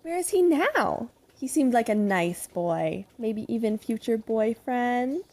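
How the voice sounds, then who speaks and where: A woman's voice: fairly high pitch, animated, slow, darkish tone, full character voice. A woman playing a prim, doting middle-aged mother in an audio drama, polite and sweetly probing.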